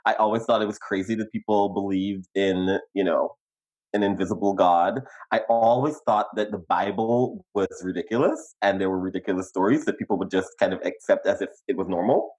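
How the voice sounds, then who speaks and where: A young adult man from Guyana with a Guyanese accent, telling his life story in casual podcast chat, animated and quick to laugh. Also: poor audio. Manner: animated, laughs easily, emphatic pitch jumps. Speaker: podcast co-host.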